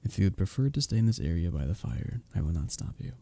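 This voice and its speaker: Man playing a dark-voiced, flirty medieval innkeeper. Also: deep voice, expressive, quick pace, exaggerated voice. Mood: gentle, courteous. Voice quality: dark, husky, gravelly. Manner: formal old-fashioned diction, teasing, gently courteous. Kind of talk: romantic ASMR roleplay, scripted character acting.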